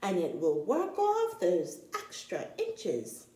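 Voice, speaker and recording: A woman's voice: fairly low pitch, wildly swooping pitch, slow pace, a little mellow, roomy sound, voice pushed down, heavily acted. A woman voicing a kindly but firm female bear doctor in a storybook, instructive and reassuring. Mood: earnest, instructive.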